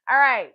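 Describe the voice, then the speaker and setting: A woman hosting a live story time for children, excitable and encouraging, often repeating phrases and addressing her listeners as friends. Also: on the high side, noisy background.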